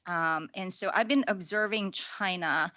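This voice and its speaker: A middle-aged woman from Taiwan moderating a panel discussion, chatty and quick to laugh, with many fillers. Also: lowish voice, pushed and bright, dry recording, clean studio sound.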